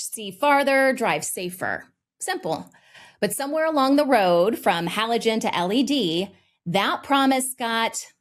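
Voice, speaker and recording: A woman narrates a news feature with an expressive, storytelling delivery. Her voice sits fairly high.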